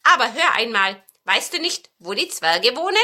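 Storybook role: kindly old talking broom, male, earnest and polite, bright, lively delivery from a female reader. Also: fairly high pitch, normal speed, heavily acted. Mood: earnest, asking.

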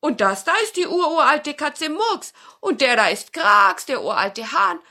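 A woman's voice: high voice, very animated, unhurried, pushed and bright, voice pushed up, full character voice. A woman voicing a friendly old male dog in a children's fairy tale, raised and emphatic. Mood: eager.

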